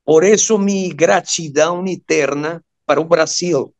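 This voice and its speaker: A man of fifty-one, a native Spanish speaker with a Venezuelan accent, telling his story as a guest in a halting manner, searching for words. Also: fairly high pitch. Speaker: guest, surgeon and amateur radio operator. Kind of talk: personal storytelling in an interview.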